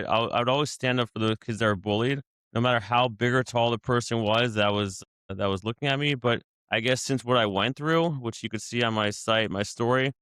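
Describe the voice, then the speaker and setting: A man's voice: mid-range voice, fairly bright tone, smooth, dry recording. A man casually reflecting to his viewers about anger and daily life, talking in a searching, conversational way with many asides and restarts. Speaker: vlogger sharing daily reflections.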